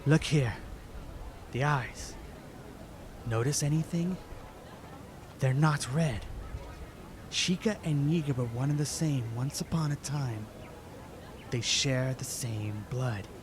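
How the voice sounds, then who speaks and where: A determined young swordsman hero played by a man in an audio drama, serious and intent. He speaks slowly at a medium pitch over clear background noise. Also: lightly acted. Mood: intent realisation. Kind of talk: fan audio drama acting. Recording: clearly noisy amateur recording.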